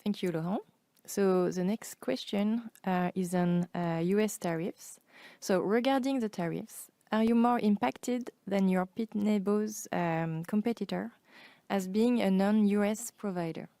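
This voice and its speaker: Lady moderator reading questions on an investor call, soft dark tone, lots of vocal fry, dry audio. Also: medium pitch, a little slow, dry recording.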